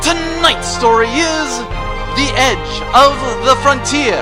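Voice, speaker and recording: A woman's voice: sits high, takes it slowly. A woman playing a grand storyteller who introduces a space adventure serial in declamatory, theatrical scripted narration. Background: music.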